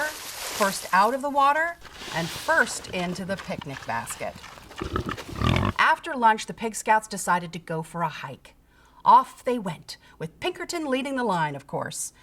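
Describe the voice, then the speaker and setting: A woman reading a children's picture book aloud, with theatrical, deliberate storytelling and dramatic emphasis. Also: mid-range voice, a bit bright. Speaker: storybook reader.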